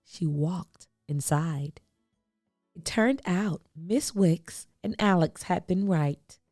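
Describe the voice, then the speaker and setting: A woman reading a children's storybook aloud, expressively, with deliberate phrasing and dramatic pauses. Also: lowish voice, dark tone, no echo, studio quality, faint music behind. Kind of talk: expressive picture-book read-aloud.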